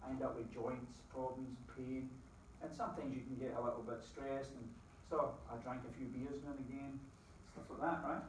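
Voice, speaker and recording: A middle-aged Scottish man giving a lively motivational talk to a live audience, quizzing the crowd with folksy asides. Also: medium pitch, moderate pace, few pauses, a little hiss or hum. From Scotland. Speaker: business opportunity presenter. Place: presentation room with an audience. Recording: very echoey room, distant mic.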